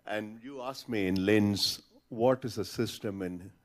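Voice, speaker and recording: A man's voice: on the low side, unhurried. A man from India speaking as an audience member at a conference, telling an anecdote.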